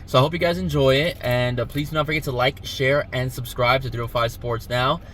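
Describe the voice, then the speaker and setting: A middle-aged man hosting a sports show, speaking casually and haltingly to his viewers. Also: medium pitch, fairly even intonation, a bit bright, a little hiss or hum.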